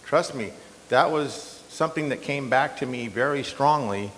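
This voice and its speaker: A man, an incumbent county supervisor, answering in a candidate debate with a loud, slightly hoarse voice and a defensive, chatty manner. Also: mid-range voice, moderate pace, slight background noise. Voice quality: loud strong voice. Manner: defensive, conversational with fillers. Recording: long hall reverb.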